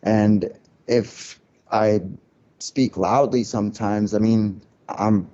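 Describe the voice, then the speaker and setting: A man with a low voice is guest on a casual podcast, talking frankly and reflectively about his label years. He circles back on himself, with plenty of restarts. The audio sounds muffled. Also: fairly even intonation, slow pace, dark tone. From Cuban family, grew up in a loud-talking city. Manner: candid, reflective, many restarts and "like" fillers. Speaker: record label founder.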